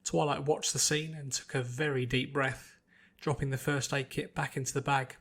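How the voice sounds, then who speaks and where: A man's voice: medium pitch, flat delivery, moderate pace, fairly bright tone, husky. A man reading a scripted adventure story aloud as its narrator. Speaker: narrator, podfic reader.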